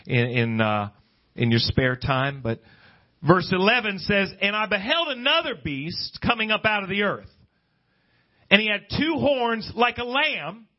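A middle-aged American man preaching as a pastor, in emphatic, deliberate phrases with pauses. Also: on the high side. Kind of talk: preaching a sermon to a congregation, reading scripture. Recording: dull, lo-fi sermon recording.

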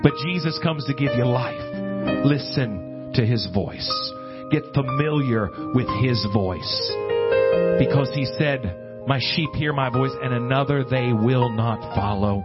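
A man preaching an evangelical sermon, exhorting earnestly with drawn-out emphatic phrases and repetition. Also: mid-range voice. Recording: dull lo-fi audio under loud guitar music. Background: loud plucked guitar music.

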